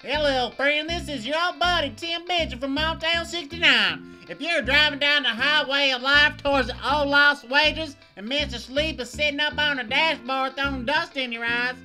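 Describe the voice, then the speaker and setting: Guy doing a chummy folksy motel ad man, really high voice, game music behind. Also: moderate pace, few pauses, bright, forceful tone, full character voice. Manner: folksy, chummy sales patter. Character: folksy chummy television motel advertisement pitchman.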